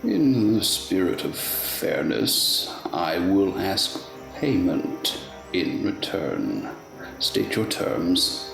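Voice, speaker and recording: A mocking, grandiose fae king in a radio play, speaking very slowly in a gravelly voice with theatrical swings. He is sly, menacing and pompous, relishing his formal phrasing. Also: deep voice, sing-song, roomy sound, over music, full character voice. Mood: haughty, challenging. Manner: pompous, mannered, deliberate.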